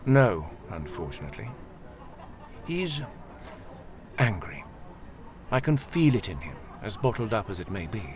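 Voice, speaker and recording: A man playing a composed, perceptive senior churchman in an audio drama, courteous and deliberate. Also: fairly low pitch, sing-song, a crowd in the background. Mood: grave. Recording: faint background ambience.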